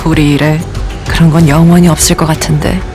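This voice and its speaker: A woman's voice: low voice, level tone, brisk, music in the background, natural voice. An actress playing a cool, mocking female shaman who channels the dead. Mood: teasing. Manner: teasing, then trance-like murmuring.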